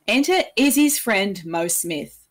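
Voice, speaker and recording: A woman's voice: mid-range voice, animated, takes it slowly. A woman hosting a history podcast. She tells the story with playful asides, laughs at her own material and asks the listener questions. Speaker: podcast host.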